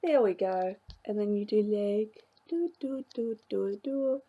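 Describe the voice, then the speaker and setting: A young woman vlogger chatting casually about her journal pages, rambling with many fillers and little laughs, her voice slightly hoarse from a coming cold. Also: medium pitch, slow, muffled recording. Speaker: craft vlogger. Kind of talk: casual chat showing a journal.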